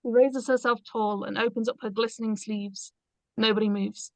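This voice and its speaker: A woman's voice: fairly high pitch, fairly even intonation, normal speed, no echo. A woman reading stage directions aloud as scripted narration in a screenplay read-through.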